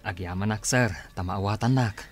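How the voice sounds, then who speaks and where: A man's voice: on the low side, normal speed, faint music behind, natural voice. Man acting weary, patient grown son. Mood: resigned. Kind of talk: Filipino radio drama acting.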